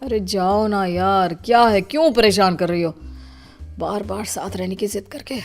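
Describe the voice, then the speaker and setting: A woman's voice: medium pitch, normal speed, music in the background, close to an everyday voice. A woman voicing a troubled, manipulative young husband in a story, sulky and complaining. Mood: irritated.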